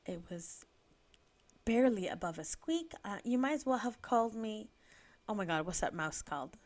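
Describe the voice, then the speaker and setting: A woman's voice: medium pitch, lively intonation, normal speed, darkish tone. A woman in her thirties, a little sniffly from a cold, chatting casually and laughing now and then as she narrates. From United Kingdom. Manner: chatty, laughs often, self-deprecating. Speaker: planner vlogger.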